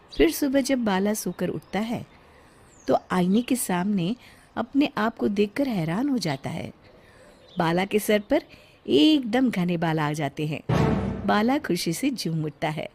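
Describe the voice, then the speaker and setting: A woman tells a cartoon story as its narrator. Her voice sits at a middle pitch, and she follows a script in storyteller fashion. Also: a little mellow, breathy edge.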